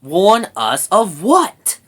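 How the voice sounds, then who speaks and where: A woman voicing a sharp-tongued young furry brownie girl in a storybook, grumpy, brash and sarcastic. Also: mid-range voice, huge pitch swings, drawn-out words, husky, pitched above the performer's own voice, exaggerated voice. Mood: irritated.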